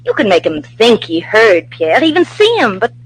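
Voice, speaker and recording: A woman's voice: mid-range voice, wildly swooping pitch, moderate pace, husky, rough recording. An actress playing a detective's inquisitive, impatient female companion in an old-time radio mystery, eager and full of questions. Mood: probing. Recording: old radio drama recording.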